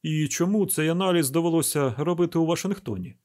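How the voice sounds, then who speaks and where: Man acting needling police press officer, pitched up. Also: fast, darkish tone, natural voice. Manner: pointed, questioning.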